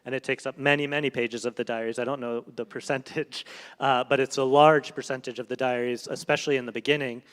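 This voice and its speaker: A man answering audience questions on a panel, thoughtful and measured, with many fillers and restarts. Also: medium pitch, fairly even intonation, a bit bright, smooth. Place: event hall on stage. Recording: reverberant hall.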